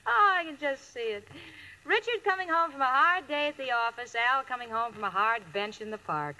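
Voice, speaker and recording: A woman's voice: high-pitched, lively intonation, brisk, bright, forceful tone, heavily acted. An actress playing a wry, marriage-hungry young single woman in a 1940s radio comedy, with theatrical comic delivery on an old recording. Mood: dry, teasing. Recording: noisy vintage radio recording.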